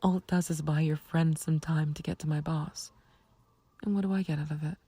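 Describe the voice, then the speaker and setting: A woman playing a smug, taunting, playfully flirtatious villain in an ASMR roleplay, with a husky, breathy low voice and a teasing, unhurried, condescending manner. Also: fairly even intonation, mellow, no echo. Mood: teasing, reluctant bargaining. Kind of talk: ASMR roleplay audio drama.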